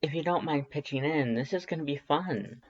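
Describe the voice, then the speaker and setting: A reader voicing a friendly, slightly awkward homeowner, casual, hesitant and gently teasing, in a fan fiction audiobook. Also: mid-range voice, normal speed, husky, lo-fi sound, pitched above the performer's own voice.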